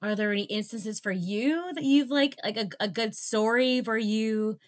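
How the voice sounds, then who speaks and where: A woman's voice: a little high, moderate pace. A woman hosting an interview, asking friendly, meandering questions with frequent ums and restarts. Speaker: podcast host. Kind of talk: interviewing a guest.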